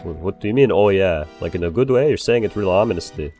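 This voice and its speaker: A man improvising in character during a role-play game, excitable and swearing freely. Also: low-pitched, fast, soft background music. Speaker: role-play player, bar owner character.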